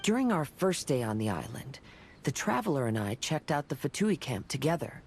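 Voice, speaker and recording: A man playing a calm, cautious young swordsman in a video game, speaking in a measured, reflective way. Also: medium pitch, slight background noise. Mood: measured, explaining. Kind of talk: video game cutscene acting.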